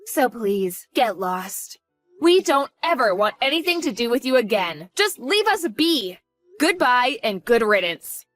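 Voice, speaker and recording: A woman's voice: fairly high pitch, very animated, measured pace, fairly bright tone, no echo, soft background music. A woman voicing a protective, anxious single mother who speaks firmly and pleads in a dramatized story. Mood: cold. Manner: firm, worried, pleading.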